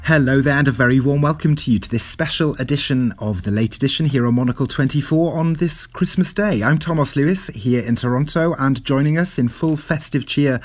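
A man hosting a radio news review, talking in a chatty, lively way with a few sort-of fillers. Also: mid-range voice, fairly quick, few pauses, no room sound, faint music behind. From Wales. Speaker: radio host.

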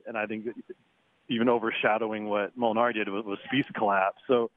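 A man calling in as a golf writer guest. He talks in a chatty, halting way, restarts words often and chuckles now and then. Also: lowish voice, flat delivery, dry recording. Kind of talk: phone interview. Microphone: phone. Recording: tinny dry phone line.

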